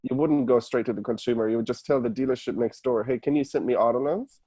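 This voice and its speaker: A man's voice: mid-range voice, quick pace, mellow, breathy edge. A man from Germany with a German accent, a guest explaining things step by step in casual interview talk. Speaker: podcast guest, startup founder. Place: remote call.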